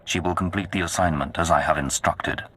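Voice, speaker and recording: An actor playing a menacing, imperious Sith lord in a video game, speaking with slow, cold command. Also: male voice, sits low, steady tone, a bit bright, husky. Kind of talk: video game cutscene acting.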